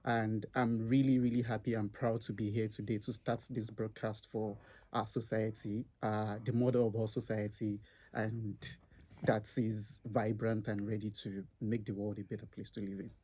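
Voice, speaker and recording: A young man from Nigeria, speaking hesitantly with many filler pauses as a student guest on a campus radio chat show. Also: lowish voice, flat delivery, dark tone.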